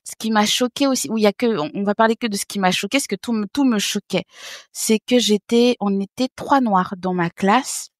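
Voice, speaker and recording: A woman in her thirties from French Guiana, a podcast guest telling stories in a lively way, laughing and acting out what others said. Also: medium pitch, a little fast, no room sound, clean studio sound. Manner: lively, laughing, acts out other people's remarks. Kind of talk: casual interview storytelling.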